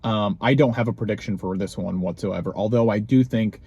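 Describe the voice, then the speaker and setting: A man's voice: fairly low pitch, a little fast, dark tone, slightly rough, dry recording, muffled recording. A young man hosting his own video, chatting casually outdoors in the snow, rambling with lots of ums and restarts. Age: young adult. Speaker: vlog host. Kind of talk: casual chat. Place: outdoors in snowy cold.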